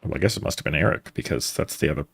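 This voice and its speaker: Husky deep-voiced male streamer. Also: expressive, racing. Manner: casual, chatty, reacting aloud. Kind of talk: live game commentary. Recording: stream with game music behind.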